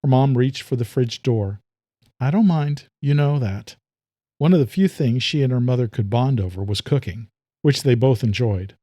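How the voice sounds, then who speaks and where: A man narrating a horror-thriller story in a measured, steady storytelling manner. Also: medium pitch, no room sound, studio quality. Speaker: narrator and author. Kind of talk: scripted audiobook narration.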